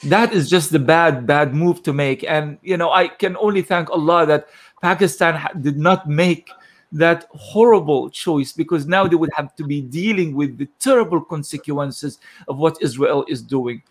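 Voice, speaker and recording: Earnest man from Gaza, slightly high voice. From Gaza, Palestine. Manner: earnest and measured, with frequent fillers and throat clearing. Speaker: guest speaker on a panel. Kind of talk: speech in an online panel discussion.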